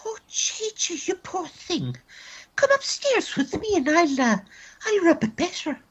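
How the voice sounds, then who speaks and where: A woman playing a fussing, jealous wife, quick to take offence, theatrically acted in a comic radio play. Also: very high voice, big pitch swings, muffled recording, heavily acted.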